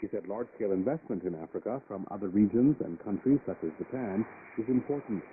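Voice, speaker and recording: A man's voice: fairly low pitch, quick pace. A man working as a news reader, delivering a scripted international news bulletin on shortwave radio. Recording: crackly, phone-like shortwave radio, loud static. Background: loud shortwave static and noise.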